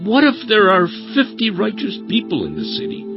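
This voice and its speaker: An actor playing a very old, humble patriarch who pleads earnestly and reverently in a dramatized scripture. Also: male voice, sits high, wildly swooping pitch, dull audio, music in the background, heavily acted. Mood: pleading, indignant. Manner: earnest, deferential, drawn-out pleading.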